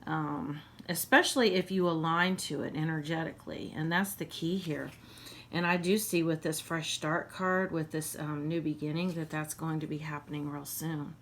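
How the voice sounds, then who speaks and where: A woman with a hoarse voice giving a casual tarot reading, halting with many ums and frequent throat clearing. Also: sits low, fairly even intonation, fairly bright tone, a little hiss or hum. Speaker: tarot reader.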